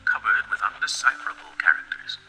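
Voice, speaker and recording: A man's voice: a little fast, pushed and bright. A man playing the grave, ominous narrator of a horror radio drama in formal literary language. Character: grave male narrator of an eldritch horror tale. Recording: hissy, static-laden old radio sound.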